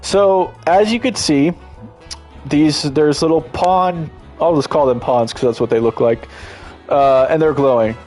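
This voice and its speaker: A man's voice: fairly high pitch, darkish tone. A congested man giving casual, enthusiastic gameplay commentary as a streamer, rambling and restarting his sentences often.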